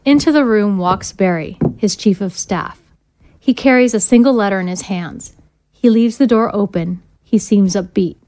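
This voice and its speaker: A woman's voice: mid-range voice, normal speed, darkish tone, slight background noise, poor audio. A woman narrating scripted stage directions for an audio drama.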